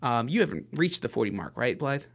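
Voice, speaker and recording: A man in his late thirties co-hosting a podcast, talking in an earnest, halting way with lots of fillers and restarts. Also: medium pitch, big pitch swings. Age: 30s. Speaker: podcast co-host. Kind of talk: casual motivational podcast chat.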